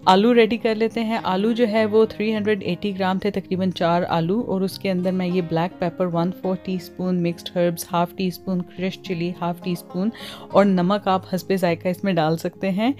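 A woman's voice: mid-range voice, mellow. A woman with a Pakistani accent hosts a cooking tutorial in voice-over, mixing English words and phrases into her talk. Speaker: cooking channel host.

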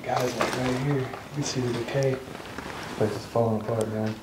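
A man's voice: mid-range voice, fairly even intonation, moderate pace. A man exploring an abandoned building, calling out in amazement at the decay. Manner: amazed exclamations. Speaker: fellow explorer. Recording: distant, roomy, loud noise.

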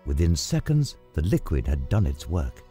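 A man reading a scripted story as narrator in a measured, dramatic way. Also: extremely low pitch, big pitch swings, dark tone. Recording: music under the voice. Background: background music.

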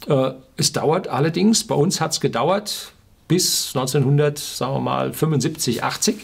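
A man's voice: on the high side, moderate pace, vocal fry, husky. A man in his sixties from Germany's Ruhr area, giving an opinionated, informal explainer to camera, emphatically and with rhetorical questions. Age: 60s. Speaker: video blogger. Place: small furnished room indoors.

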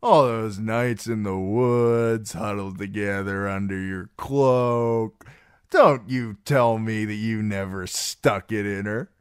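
Man playing a loud, cocky drunken young singer. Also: fairly low pitch, fairly even intonation, clear, resonant tone, dry recording, studio quality, pitched above the performer's own voice. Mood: teasing. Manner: loud, swaggering, slow drawn-out delivery. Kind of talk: audiobook character voice.

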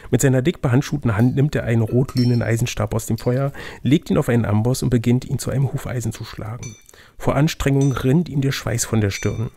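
A man's voice: medium pitch, steady tone, fairly quick, vocal fry, warm tone, a little hiss or hum. A man narrating an adventure gamebook as game master and chatting casually with his co-player. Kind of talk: reading a gamebook aloud, with casual banter.

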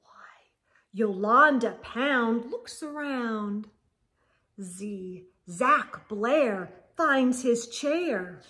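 A middle-aged woman reading a picture book aloud to children in an animated, sing-song storyteller manner. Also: fairly high pitch, drawn-out words. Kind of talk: expressive children's read-aloud. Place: indoor room lined with bookshelves.

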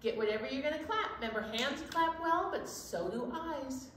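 High-voiced woman hosting storytime, echoey room. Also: normal speed. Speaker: library storytime host. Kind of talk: children's storytime chat. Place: indoor storytime set at a library. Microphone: distant camera microphone. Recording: roomy, distant mic, steady background noise.

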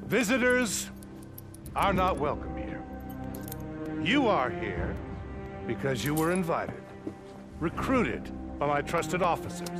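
An actor playing a stern militia drill officer in a video game, shouting clipped commands. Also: male voice, fairly high pitch, sing-song, slow, long pauses, music in the background. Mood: commanding, stern.

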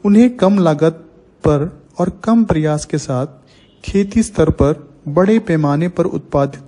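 A man narrating a scripted agricultural training film as a voice-over, reading slowly and evenly in formal wording. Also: on the high side. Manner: slow, even reading with formal Hindi wording.

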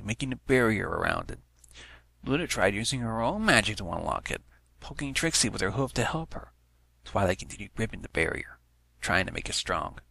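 Low creaky man reading a story. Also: sharp tone, no room sound. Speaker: narrator. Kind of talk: scripted story reading of fan fiction.

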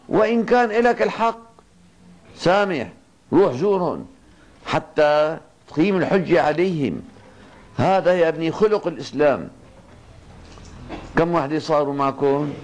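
An older man with a Levantine Arabic accent giving a Ramadan evening sermon in a mosque, speaking in a fatherly way with slow, emphatic repetition. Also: fairly high pitch. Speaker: sheikh. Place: mosque, seated at a pulpit desk. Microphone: two stand microphones on the desk.

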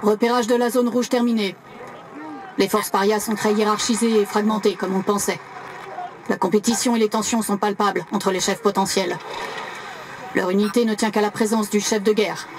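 An actress playing a businesslike supersoldier scout in a video game, reporting briskly. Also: female voice, medium pitch, fairly even intonation, lightly acted. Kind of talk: video game character acting. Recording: noisy background.

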